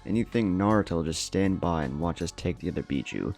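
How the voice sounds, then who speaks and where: A man's voice: low voice, expressive, brisk, vocal fry, darkish tone, faint music behind. A man narrating a storybook as a scheming, condescending masked villain with a haughty, menacing manner.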